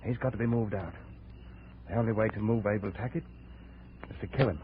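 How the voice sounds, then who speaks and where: An actor playing a big, grimly determined jungle trader with a brooding, menacing manner in a 1950s radio drama. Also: male voice, on the low side, brisk, natural voice. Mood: bitter, intense. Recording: narrow, noisy 1950s radio recording.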